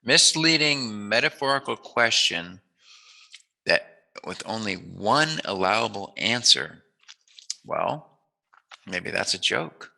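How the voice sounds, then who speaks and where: A man with a fairly low, creaky voice and a very sharp, forceful edge hosts a casual chat. He muses and rambles playfully as he talks with his guest. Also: animated, slow pace, long pauses, poor audio. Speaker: interview host.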